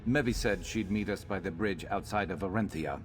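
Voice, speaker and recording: A man playing a gruff, loyal Argonian lizard-man in a video game, speaking in a measured way with dry wit. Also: low voice, faint music behind, close to an everyday voice. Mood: grim, determined. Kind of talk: video game character dialogue.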